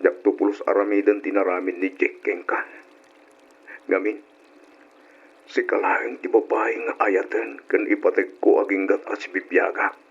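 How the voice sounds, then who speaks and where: A man's voice: on the low side, flat delivery, normal speed, breathy edge, dull audio. An Ilocano man acting an ardent, regretful young lover in an old-style radio drama. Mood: earnest. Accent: Filipino, Ilocano.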